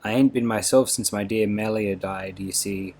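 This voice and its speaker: A man's voice: on the low side, fairly even intonation, moderate pace, a little mellow. A man doing a pitiful old soldier. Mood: pleading. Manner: pleading, humble rustic speech. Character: broken old male soldier, pitiful and apologetic.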